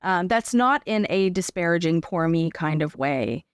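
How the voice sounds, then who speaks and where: A woman speaking as an interview guest, giving a personal account in a reflective, measured and explanatory conversational way. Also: fairly low pitch, expressive, clear, resonant tone, dry recording, studio quality. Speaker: podcast guest, actor and advocate.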